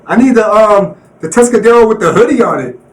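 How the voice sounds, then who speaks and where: A man's voice: high-pitched, expressive, fast, recorded from a distance, rough recording. A man hosting a live stream, chatting casually in slang and repeating "you know what I'm saying.". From Colorado.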